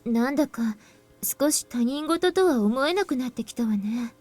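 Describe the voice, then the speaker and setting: A woman's voice: high-pitched, lively intonation, quick pace, darkish tone. A voice actress playing a thoughtful young woman in a Japanese visual novel. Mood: pensive.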